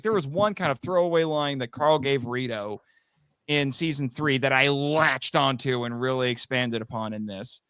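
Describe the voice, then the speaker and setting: A man speaking as a podcast guest in an animated, casual discussion, wandering into tangents with stammering restarts and frequent chuckles. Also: mid-range voice, clean tone, no echo. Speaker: podcast guest, voice actor and writer.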